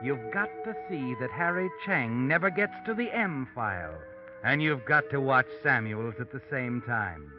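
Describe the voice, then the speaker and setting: American male actor as ominous mystery narrator, lively delivery, old radio sound with strong music behind. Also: mid-range voice, moderate pace, muffled recording, close to an everyday voice. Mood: knowing, ominous. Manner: taunting, addresses the character as you. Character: sinister all-knowing male narrator watching from the shadows. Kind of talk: 1940s radio noir narration.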